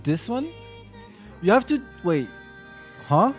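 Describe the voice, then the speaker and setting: A man's voice: high-pitched, very animated. A man streaming a game, answering chat questions casually, stopping and restarting his sentences often. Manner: halting, full of restarts and fillers while answering chat. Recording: faint game music behind.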